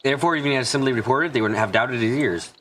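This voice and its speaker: A man's voice: mid-range voice, quick pace, fairly bright tone. A man reading a story aloud as an amateur narrator, stumbling over lines and correcting himself. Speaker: streamer reading a visual novel aloud in translation.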